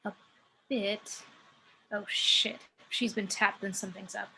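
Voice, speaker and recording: A woman's voice: mid-range voice, steady tone, slow pace, creaky, pushed and bright, a little hiss or hum, heavily acted, rough recording. A woman playing a cocky, sardonic muse who works as a stripper, in a script table read. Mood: surprised. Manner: sardonic, brash. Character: sassy, cocky female muse working as a stripper.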